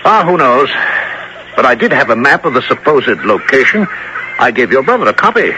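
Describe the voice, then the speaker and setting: An actor playing a seasoned, wry big game hunter in a 1970s radio adventure, with a brisk, theatrical, musing delivery. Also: male voice, mid-range voice, very animated, lo-fi sound, natural voice. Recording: noisy 1970s radio broadcast recording.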